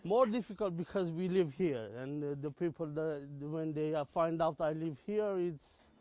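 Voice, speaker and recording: A man with a Romanian accent answers in halting English, often stopping on fillers. His voice sits a little high. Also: a little mellow. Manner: hesitant, filler-heavy English. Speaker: interviewee.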